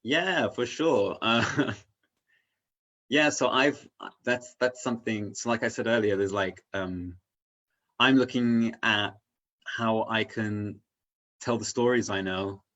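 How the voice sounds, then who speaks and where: The recording is a little muffled and lo-fi. A man with a lowish voice talks as a guest in a relaxed conversation. He is earnest and philosophical, rambling through his thoughts and checking that he is followed. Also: slow pace. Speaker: interview guest. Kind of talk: casual reflective conversation.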